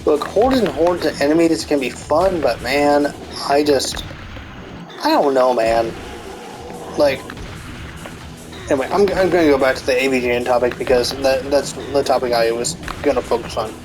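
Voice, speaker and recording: A man's voice: medium pitch, moderate pace, pauses often, music in the background. A man in a casual, rambling commentary rant, full of fillers and restarts, swearing often, with a stuffy nose. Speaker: commentary YouTuber.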